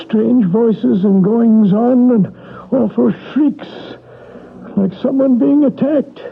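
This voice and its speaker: An anxious old manservant, high-pitched, tinny broadcast. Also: male voice, takes it slowly, vocal fry, slight background noise, full character voice. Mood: nervous. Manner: deferential, fretful. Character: elderly male household servant, timid and loyal.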